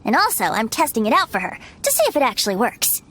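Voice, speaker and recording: A woman's voice: a little high, very animated, quick pace, a bit bright, slightly rough, exaggerated voice. A woman voicing a playful, teasing young male bard in a video game, light and boyish with a breezy theatrical manner. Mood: breezy explaining. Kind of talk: video game character acting. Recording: music behind the voice.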